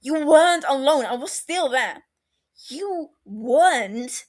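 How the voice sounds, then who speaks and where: A woman voicing a defiant, exasperated runaway elder brother in an audio story, forceful, indignant and defensive. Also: sits high, animated, takes it slowly, voice pushed up.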